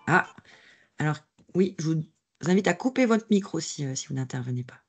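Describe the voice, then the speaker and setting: A woman's voice: low voice, lively intonation, moderate pace, slightly rough, soft background music. A woman moderating a webinar on a video call, who speaks in a friendly, hesitant way with fillers. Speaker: webinar host.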